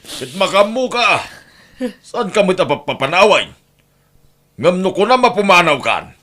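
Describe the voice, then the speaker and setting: An actor playing a curt, domineering, unfaithful husband in a radio drama. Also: male voice, sits high, very animated, unhurried, pushed and bright, heavily acted.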